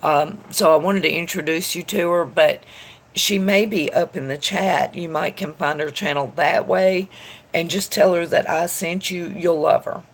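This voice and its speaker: A woman's voice: lowish voice, fairly even intonation, normal speed. A woman, stuffed up from allergies, chatting casually with viewers on a tarot live stream. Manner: chatty, rambling, greets viewers by name. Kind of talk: casual live-stream chat reading comments aloud.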